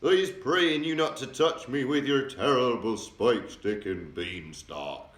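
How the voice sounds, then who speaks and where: A man's voice: mid-range voice, fairly bright tone, pitched above the performer's own voice, close to an everyday voice. A man voicing a huge child-eating male giant who screams and begs in his sleep, drawn out and bellowing. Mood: pleading. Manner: bellowing, screeching, drawn-out pleading. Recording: roomy echo, distant mic.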